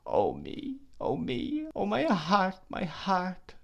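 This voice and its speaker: A man's voice: medium pitch, lively intonation, moderate pace, muffled recording, close to an everyday voice. A man reading aloud, voicing a tearful, remorseful young woman who sobs and pleads. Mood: pleading. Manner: sobbing, pleading.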